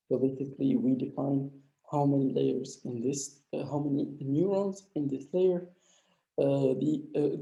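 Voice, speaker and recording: A man with a mild flu lectures on code over a dull, lo-fi line in an echoey room. His voice is gravelly and dark, pitched a little high, and he talks through each step with plenty of "uh"s. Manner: explanatory, frequent "uh" fillers. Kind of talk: live online coding lecture.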